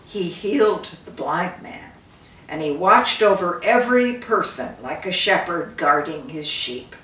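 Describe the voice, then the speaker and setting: An older woman reading the part of a gentle, devout prince with a reverent, measured delivery. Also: fairly low pitch, expressive. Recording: roomy, distant microphone.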